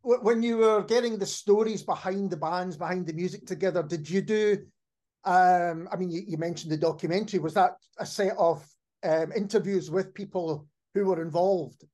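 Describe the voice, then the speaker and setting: A man's voice: high-pitched, normal speed, darkish tone. A man hosting a podcast, asking questions in a conversational interview style.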